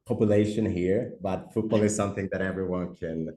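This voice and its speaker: Swedish-born guest, middle-aged, deep and mellow voice, lots of restarts, talking over a video call with roomy echo. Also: male voice, fairly even intonation. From Sweden. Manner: halting, many repeated words and fillers. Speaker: startup CEO, podcast guest. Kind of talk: casual interview answers. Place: office.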